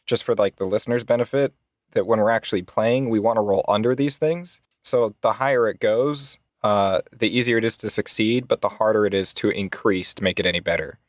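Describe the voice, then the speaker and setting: A man's voice: on the low side, fairly quick, no echo, clean studio sound. A man chatting casually as a player in a tabletop role-playing game, reading out his results with some swearing. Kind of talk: casual chat while rolling dice.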